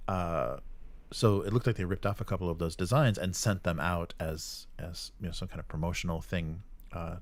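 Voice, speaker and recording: A man hosting a casual tabletop gaming livestream, musing and commenting in a rambling, halting way. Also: low-pitched, no echo. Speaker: host of a live tabletop gaming stream. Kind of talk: casual live commentary with reading of chat comments.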